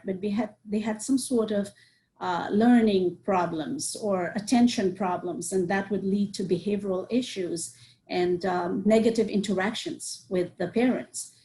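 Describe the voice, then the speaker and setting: A woman's voice: mid-range voice, mellow. A woman, a psychiatrist answering interview questions earnestly and listing points with many "you know"s. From Nepal. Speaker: psychiatrist guest.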